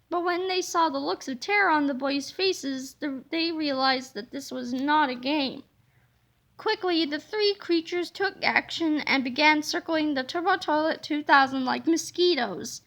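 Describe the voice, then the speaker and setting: Read-aloud narrator: woman with a very high, bright voice, telling a children's story expressively.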